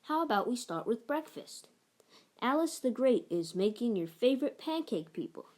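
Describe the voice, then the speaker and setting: Woman playing a gentle, soothing storybook mother. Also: fairly high pitch, very animated, normal speed. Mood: gentle, reassuring. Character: gentle, affectionate mother of a small boy.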